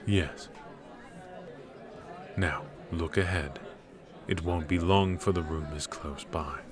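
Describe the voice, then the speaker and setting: A man playing a cold, strict royal magic professor in an ASMR roleplay, speaking in a measured, clipped, lecturing way. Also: crowd noise. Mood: disdainful, stern. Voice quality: low and cool, measured.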